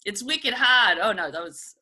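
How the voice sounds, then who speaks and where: A woman's voice: fairly high pitch, expressive, normal speed, sharp tone. A woman hosting a comedy panel game show over a video call from home. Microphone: video call microphone.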